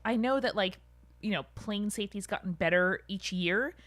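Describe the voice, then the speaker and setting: A woman's voice: medium pitch, moderate pace, a bit bright, dry recording. A woman co-hosting a podcast in casual chat, reacting freely and swearing casually. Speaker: podcast co-host.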